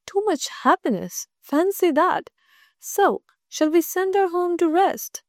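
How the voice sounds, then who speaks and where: A woman's voice: extremely high pitch, lively intonation, slow pace, dry recording, studio quality, pitched above the performer's own voice, exaggerated voice. A woman voicing a fussy, doting male school principal in a read-aloud story.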